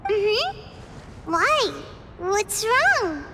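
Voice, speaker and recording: Very high, childlike girl deity. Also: very animated, very noisy, heavily acted. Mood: startled, worried. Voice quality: light, childlike. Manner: measured, earnest, polite. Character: small young girl deity, earnest, gentle, curious. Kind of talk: scripted video game dialogue.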